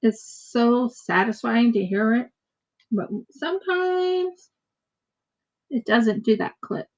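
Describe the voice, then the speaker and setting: A woman's voice: on the high side, fairly even intonation, a little slow. An American woman chatting casually and rambling as she crafts on a livestream, often repeating her words. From United States. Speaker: craft streamer.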